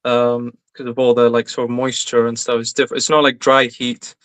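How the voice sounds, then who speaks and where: A man's voice: mid-range voice, level tone, a little fast, a bit bright, clean tone. A young man from Iraq, a recent graduate, answering interview questions in a casual, hesitant way with many fillers. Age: young adult.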